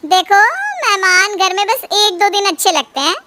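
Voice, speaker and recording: A woman voicing a scheming, mocking elder daughter-in-law in an animated family story. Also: very high-pitched, moderate pace, noisy background. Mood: scornful. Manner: taunting and sneering.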